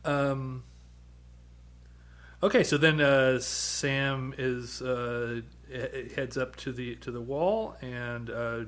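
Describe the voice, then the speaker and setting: A man hosting a podcast in a mid-range voice. He recaps the episode in a rambling, halting way, full of fillers and small chuckles. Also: medium pitch, fairly even intonation, fairly bright tone. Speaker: podcast host. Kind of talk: casual chat recapping a TV episode.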